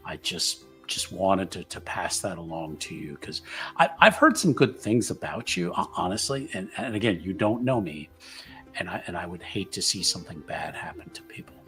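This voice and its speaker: A man voicing an earnest, cautious tavern-goer in a tabletop roleplaying game, polite and halting, often repeating words. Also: sits low, sharp tone, heavily acted. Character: soft-spoken, earnest male tavern regular, cautiously warning.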